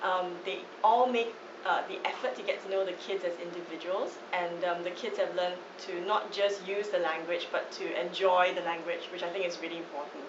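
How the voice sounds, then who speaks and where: Enthusiastic mother with a lowish voice, recorded from a distance in a roomy space. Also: steady tone, normal speed. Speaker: parent. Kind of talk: casual testimonial. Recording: distant echoey dull recording, ambient noise.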